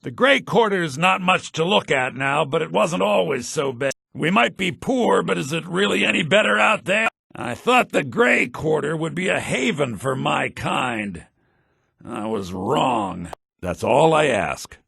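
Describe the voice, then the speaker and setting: An actor voicing shrewd, wry men of a fantasy video game, such as merchants and mages, in a sly, dry, theatrically expressive manner. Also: male voice, unhurried, creaky, husky, no echo, full character voice. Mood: earnest, aggrieved. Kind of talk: scripted video game character lines. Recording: low-bitrate game audio rip.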